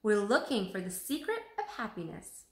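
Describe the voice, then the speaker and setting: A woman's voice: mid-range voice, huge pitch swings, moderate pace. A woman voicing an eager, bold, friendly young girl in a children's storybook. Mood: curious. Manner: eager, bright-spirited. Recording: roomy echo.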